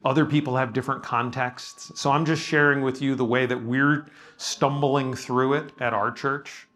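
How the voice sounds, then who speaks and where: Man, pastor, running a workshop discussion, relaxed and modest, lots of ums and restarts, mid pitch. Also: normal speed. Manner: casual, self-effacing, with frequent ums and restarts. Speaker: pastor leading a workshop session. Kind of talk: leading a group discussion. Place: room with a live audience.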